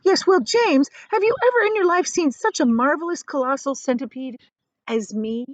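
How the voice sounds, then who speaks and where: A woman's voice: high voice, lively intonation, normal speed, dry recording, voice pushed up. A woman voicing a boastful, rascally male centipede in a children's storybook, bragging and teasing.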